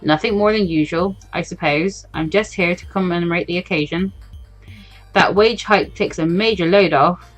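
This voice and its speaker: A woman's voice: fairly low pitch, moderate pace, soft background music, close to an everyday voice, low-quality recording. A woman voicing a cynical, blunt man drinking at a bar in a visual novel, talking in a casual, grumbling way. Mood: bitter, casual. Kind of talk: visual novel read-aloud.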